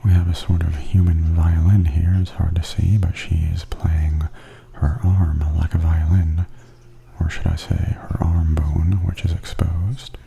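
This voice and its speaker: A man giving softly spoken ASMR tour commentary in a breathy, often near-whispered voice, gentle and observational. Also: low-pitched, a little slow. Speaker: vlogger giving a walking tour. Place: walking through a theme park and its indoor attractions. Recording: steady background noise and ambience.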